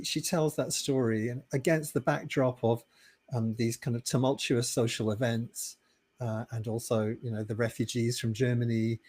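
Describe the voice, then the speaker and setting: A middle-aged man with a British accent talks through his answers at a steady, unhurried pace. His voice sits at an ordinary middle pitch, and he tells his stories thoughtfully, sometimes circling back to rephrase a point. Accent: British English. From Britain. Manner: thoughtful storyteller, steady and measured. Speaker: podcast guest, author. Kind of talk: conversational interview answers.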